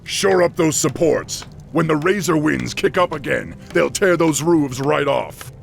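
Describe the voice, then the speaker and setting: An actor playing a proud, honorable orc war chief in a video game, speaking with command and dignity. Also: male voice, fairly high pitch, unhurried, gravelly, soft background music. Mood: commanding, urgent. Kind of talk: video game cutscene acting.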